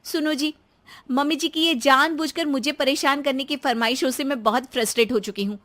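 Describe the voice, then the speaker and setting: A woman playing a young housewife in an animated moral story, complaining in a dramatic, whiny manner. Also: high-pitched, a little fast. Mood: exasperated. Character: exasperated young Indian daughter-in-law, complaining housewife.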